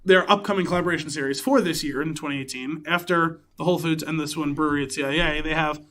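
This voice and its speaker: Guy on a podcast, bright voice a bit on the high side, casually explaining stuff, kinda searching for words. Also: fairly even intonation, fast, slight background noise. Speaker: podcast co-host. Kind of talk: casual chat about craft beer and films.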